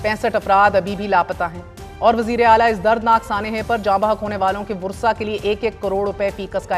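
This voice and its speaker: A woman, hosting a news talk show, delivering scripted commentary with indignant, pointed rhetorical questions. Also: mid-range voice.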